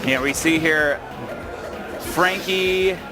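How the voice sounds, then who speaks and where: A high-voiced man calls a video game tournament live over music. He is excitable, hyping the players as he runs through play-by-play. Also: very slow, a loud crowd. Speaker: esports tournament commentator.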